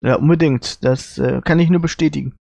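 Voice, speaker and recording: Higher-voiced man, hesitant in chat. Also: steady tone, fairly quick, creaky, dark tone, no echo. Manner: hesitant, searching for words. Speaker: podcast co-host. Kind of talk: casual panel chat reviewing audio dramas.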